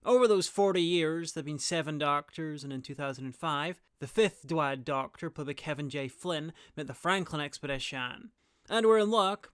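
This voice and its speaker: A man's voice: fairly high pitch, fairly bright tone, smooth, no echo. A man narrating a scripted video review as host, with dry, wry asides. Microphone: close, dry voice-over mic.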